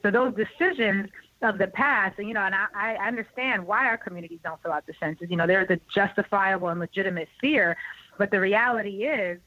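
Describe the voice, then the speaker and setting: A young woman with a bright, forceful voice speaks in a dry, close recording that sounds a little muffled and lo-fi. She explains with earnest emphasis, pressing her points home and laughing easily as she goes. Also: medium pitch, a little fast, no echo. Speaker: guest. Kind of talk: explanatory interview talk.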